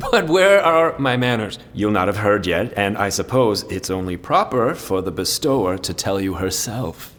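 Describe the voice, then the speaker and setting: An actor playing a fussy, effusive, well-mannered friar in a fantasy audio drama. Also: male voice, lowish voice, expressive, moderate pace, hardly any pauses, fairly bright tone, long reverb tail, a little hiss or hum. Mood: delighted. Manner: courteous, effusive.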